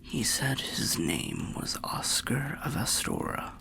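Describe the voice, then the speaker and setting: A man voicing a weary, kindly old undead warrior who rambles gently in a breathy, gravelly half-whisper, like a fantasy ASMR storyteller. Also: mid-range voice, big pitch swings, heavily acted. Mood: welcoming, reflective. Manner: slow, rambling, archaic, gently cautionary storyteller. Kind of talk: ASMR fantasy roleplay monologue.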